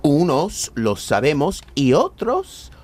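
Slow, swooping bitter old villain. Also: male voice, a little high, wildly swooping pitch, a little mellow, exaggerated voice. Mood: cold, menacing. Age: older. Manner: mocking, menacing, slow emphatic delivery. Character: bitter, greedy, selfish old rich businessman, a villain. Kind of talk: radio play acting.

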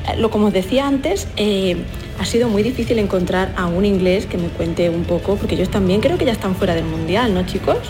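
A woman's voice: medium pitch, fairly quick, over music. A woman from Spain with a Spanish accent, chatting casually and humorously as a radio reporter, joking and hesitating as she goes. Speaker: comedy radio show reporter.

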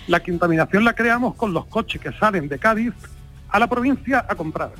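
A middle-aged hotel owner calls in to a radio show and talks at length in a high voice. He rambles from point to point, and faint music sits low behind him. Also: lively intonation, normal speed, sharp tone. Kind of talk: phone-in chat.

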